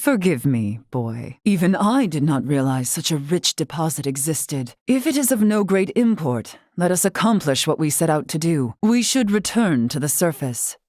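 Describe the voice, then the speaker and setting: धीमे सुर वाली गंभीर महिला मुखिया. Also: female voice, deep voice, very animated, darkish tone, dry recording, studio quality. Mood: composed, wry. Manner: formal, archaic, measured phrasing. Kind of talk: video game character acting.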